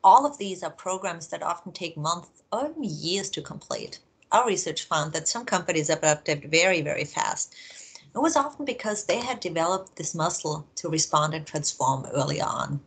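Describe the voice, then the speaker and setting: A middle-aged woman with an Austrian accent gives a prepared talk from her home office. Her voice sits a little low and has a husky edge. She explains her points in an upbeat way. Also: animated, normal speed. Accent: Austrian German. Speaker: research vice president.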